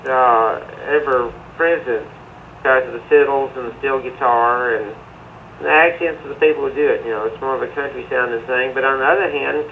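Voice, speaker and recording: A man from Middle Tennessee answers interview questions in an easy, unhurried way, often pausing on "uh". His voice has a gravelly edge, and a faint hiss sits under it. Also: mid-range voice, phone-quality sound. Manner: relaxed, many "uh" fillers. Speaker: musician guest. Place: remote link to a TV studio.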